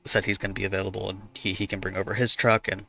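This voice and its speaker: Hoarse, gravelly man chatting casually. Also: sits low, level tone, rapid-fire, bright, forceful tone, lo-fi sound. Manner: rambling, self-correcting, laughs often. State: hoarse from talking a lot. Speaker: artist streaming while drawing. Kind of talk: casual chat answering viewers.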